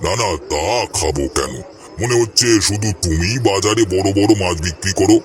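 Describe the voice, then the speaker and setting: A man's voice: low-pitched, animated, normal speed, a little hiss or hum. A man voicing a genial, opinionated old grandfather with a folksy, teasing manner in a village cartoon. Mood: haggling. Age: older. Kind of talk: Bengali cartoon dialogue.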